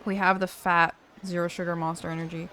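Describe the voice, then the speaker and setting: A woman's voice: fairly low pitch, flat delivery, fairly quick, fairly bright tone, slight background noise. A young woman hosting a let's play, chatty and playful as she comments and reads story text. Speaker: gaming host. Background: faint game music.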